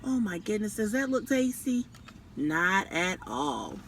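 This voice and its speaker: A woman storyteller reading a picture book aloud to children and pausing to ask them questions. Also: medium pitch, big pitch swings, fairly bright tone, slight background noise.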